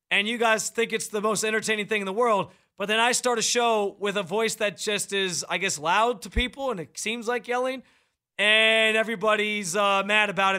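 A man hosting a sports radio show in a studio, talking loudly and projecting into a close microphone with fired-up opinions. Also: high-pitched. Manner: loud, projecting delivery.

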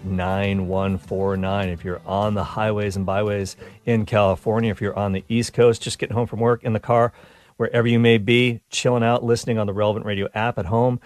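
A man's voice: fairly low pitch, level tone, normal speed, a little mellow. A man hosting a radio talk show, enthusiastic and chatty, a little stuffed up, restarting words as he goes. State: stuffed up nose. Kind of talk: upbeat radio show monologue.

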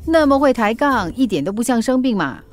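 Feisty, high-voiced delivery worker. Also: female voice, big pitch swings. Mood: teasing, indignant. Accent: Singaporean. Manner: pert, quick to retort. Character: feisty, teasing woman who delivers food. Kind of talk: Mandarin radio drama acting. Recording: music behind the voice.

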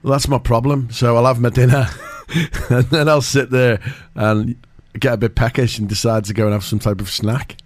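A man's voice: medium pitch, steady tone, normal speed, dry recording. A man co-hosting a radio show, chatting casually and teasing his partner, laughing easily. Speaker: radio co-host.

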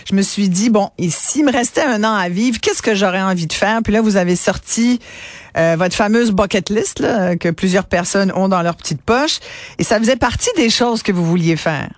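A woman's voice: medium pitch, moderate pace, no room sound, clean studio sound. A woman with a Quebec French accent, hosting a radio interview in an informal, chatty conversational way.

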